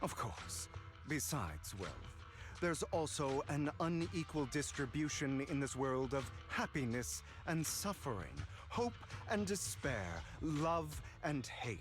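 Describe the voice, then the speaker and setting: A man playing a suave, theatrical and courteous phantom thief in a video game, speaking in smooth, elaborately polite lines over music. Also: a little high, very animated, slow. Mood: grave, idealistic. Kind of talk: scripted video game voice acting. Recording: music under the voice.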